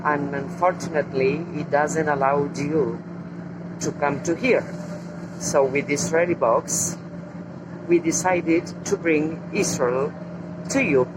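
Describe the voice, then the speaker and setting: A middle-aged woman from Argentina with an Argentine Spanish accent, guiding a walking tour outdoors and slowly pointing out sights. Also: deep voice. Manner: slow, explanatory, points out sights. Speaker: tour guide. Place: outdoors on city streets and a tram. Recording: loud street and traffic noise.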